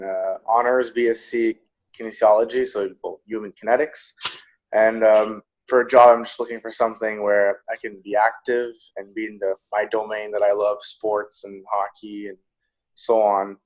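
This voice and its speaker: Young man with a flat, low voice. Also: measured pace, poor audio. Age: young adult. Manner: plain, hesitant, many ums. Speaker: university student.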